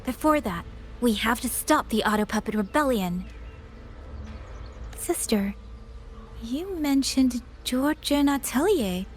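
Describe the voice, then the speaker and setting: A woman playing a gentle, dutiful younger sister in a video game, with an earnest and polite way of talking. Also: a little high, lively intonation, slow pace, long pauses. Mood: serious. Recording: music behind the voice.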